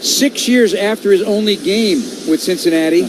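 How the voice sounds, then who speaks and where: A man's voice: sits high, normal speed, background noise. An American man calling football play-by-play live on the radio. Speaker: play-by-play announcer.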